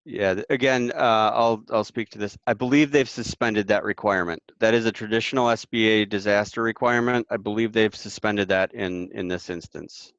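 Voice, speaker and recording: A man with a smooth, fairly deep voice answers questions on a webinar panel. He explains carefully and haltingly, unscripted. The audio is dry and slightly dull, like an online call. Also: fairly even intonation, fairly bright tone, dry recording.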